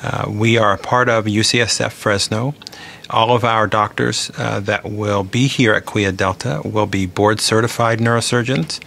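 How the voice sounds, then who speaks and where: A man with a fairly low, gravelly voice gives interview remarks as a medical specialist. His explanations are formal and measured, with noticeable fry in the voice. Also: steady tone, fairly bright tone. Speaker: neurosurgeon.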